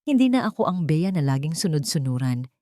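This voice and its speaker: A woman's voice: low voice, very animated, a little fast, warm tone, dry recording, studio quality. Woman acting a hurt, resolute young daughter. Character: hurt but resolute young adult daughter, Filipina heroine.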